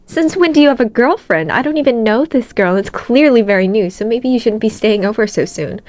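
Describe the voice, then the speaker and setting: A protective aunt acted out by a woman reading a story, pitched up and lively. She fusses and questions, keen to know everything about the girl in her care. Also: brisk, no echo, lightly acted. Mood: worried, suspicious. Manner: fussing, questioning.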